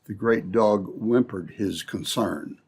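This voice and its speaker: Husky male old-time radio narrator. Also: fairly low pitch, slow pace, a little mellow.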